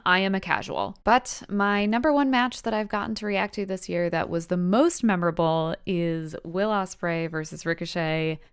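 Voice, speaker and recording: A woman in her thirties with a clean, smooth voice at an average pitch. She speaks as a video host, casually addressing viewers in a lightly scripted way, with a wry and playful, self-deprecating manner. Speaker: YouTube host reviewing wrestling matches.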